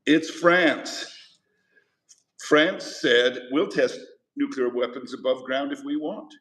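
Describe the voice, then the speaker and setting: A man's voice: medium pitch, slow pace, fairly bright tone. Male history teacher lecturing in an echoey classroom. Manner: conversational, asks the class questions.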